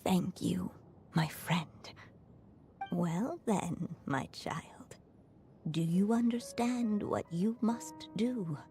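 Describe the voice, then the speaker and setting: An actress playing a gentle, earnest mother and writer in a video game, speaking softly and in measured phrases. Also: on the low side, soft background music. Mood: tender, resigned. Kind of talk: scripted video game voice acting.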